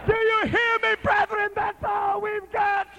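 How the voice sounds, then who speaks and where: A man preaching a sermon in an emphatic, rhythmic style that rises to shouted peaks. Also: very high voice, monotone, unhurried, creaky, noisy background.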